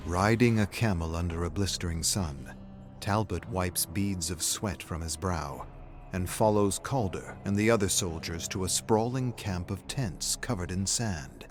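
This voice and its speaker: A man narrates a dramatic scripted story in a deep voice. Faint music plays underneath his reading. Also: unhurried.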